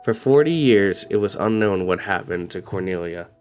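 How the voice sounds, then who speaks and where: A man hosting and narrating a true crime story in a somber, steady way, his voice slightly raspy from a cold. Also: sits low, moderate pace, faint music behind. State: coming down with a cold. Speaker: host.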